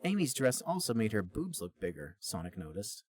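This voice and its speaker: A man's voice: lowish voice, sing-song, normal speed, darkish tone. A man co-hosting a podcast, reading a story aloud as narrator and chatting casually between passages.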